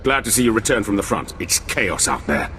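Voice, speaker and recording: Soldier, lowish voice, clipped, deferential, sci-fi game, reverberant hall. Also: male voice, sing-song, a little fast, very noisy. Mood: urgent, formal. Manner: clipped, deferential military reporting. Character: dutiful, urgent male Imperial special forces captain. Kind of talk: dramatic video game acting. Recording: long reverb under music.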